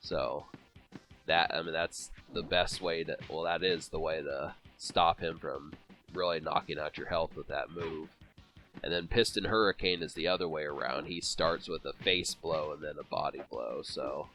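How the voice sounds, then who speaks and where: A man's voice: extremely low pitch, moderate pace, sharp tone, soft background music. A man with a cold, sniffling and congested, rambling through casual commentary on a boxing video game with many fillers and restarts. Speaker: let's play commentator.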